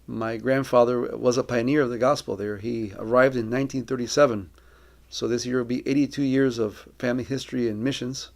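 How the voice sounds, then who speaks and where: A middle-aged man with a very creaky, gravelly voice at an ordinary male pitch. He gives a personal testimony as a missionary, speaking earnestly and reflectively, choosing his words with deliberation. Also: fairly even intonation, a little fast, hardly any pauses, darkish tone. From Colombia.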